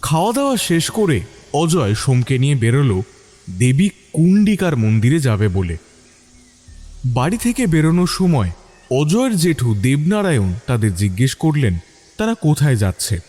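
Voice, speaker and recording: A man's voice: medium pitch, very animated, moderate pace, dark tone. A man reading scripted narration for an audio story.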